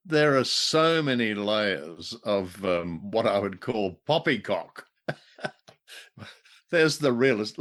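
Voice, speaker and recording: A man's voice: mid-range voice, a bit bright. A man co-hosting a casual review chat, speaking in a wry, wordy way. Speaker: podcast co-host.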